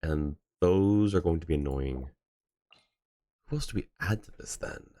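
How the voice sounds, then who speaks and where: A man's voice: extremely low pitch, a little slow, warm tone, no echo, clean studio sound. A man livestreaming a game, giving casual commentary and thinking aloud in a hesitant way. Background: game music.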